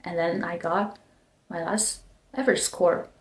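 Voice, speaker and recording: A woman's voice: fairly low pitch, measured pace. Young woman vlogging in an echoey room. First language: not English, not German. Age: young adult. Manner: relaxed, filler-heavy, with frequent likes and ums. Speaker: vlogger, design student. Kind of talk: casual explanatory vlog. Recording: echoey room, faint background noise.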